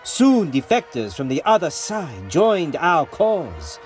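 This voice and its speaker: An actor playing an imperious, self-assured rebel warlord, speaking in a measured, grandiose way in an audio drama. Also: male voice, a little high, huge pitch swings, faint music behind, full character voice. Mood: proud, grandiose. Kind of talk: dramatic audio comic acting.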